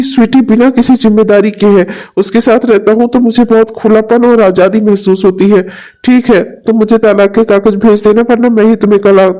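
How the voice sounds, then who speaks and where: A man voicing a selfish young husband who is tired of married life, talking in a flat, dismissive way in an amateur audio drama. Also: high-pitched, lightly acted, rough recording. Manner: flat, dismissive, explaining himself.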